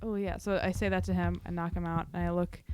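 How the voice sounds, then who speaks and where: A woman's voice: fairly low pitch, little pitch movement, fast talker, darkish tone, faint music behind. A woman playing a tabletop role-playing game, talking informally and correcting herself as she improvises her turns. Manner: informal, frequent "like", self-correcting. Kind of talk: casual, improvised role-play talk.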